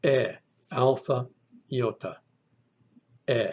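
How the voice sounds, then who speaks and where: A man's voice: mid-range voice, extremely slow, a little mellow, breathy edge, muffled recording. A man giving a recorded lecture on Greek pronunciation, methodically repeating each sound for the listener. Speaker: Greek language teacher.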